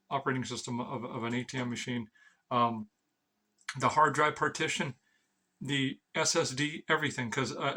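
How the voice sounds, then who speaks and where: A man's voice: medium pitch, bright, forceful tone. A man giving a rambling, informal explainer as an amateur vlogger, blunt and sweary, often stammering over repeated words.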